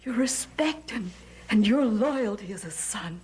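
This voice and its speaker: A woman's voice: medium pitch, animated, a little slow, darkish tone, lightly acted. An actress playing an anguished, devoted mother in a gothic soap opera, with emotional, wavering delivery. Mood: tearful yearning. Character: anguished, devoted mother yearning for her son's love. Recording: faint music behind the voice.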